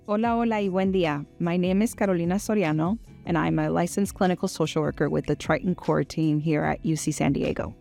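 A woman's voice: fairly low pitch, brisk, vocal fry, few pauses, dark tone, faint music behind. A woman with a Mexican Spanish accent, whose first language is Spanish, giving a scripted introduction as a clinical social worker and mixing in Spanish words.

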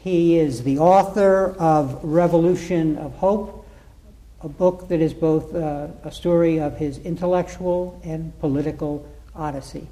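A man's voice: a little high, steady tone, a little slow, warm tone, low-quality recording. A man hosting an interview program, giving a formal introduction and then asking questions. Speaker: interview host.

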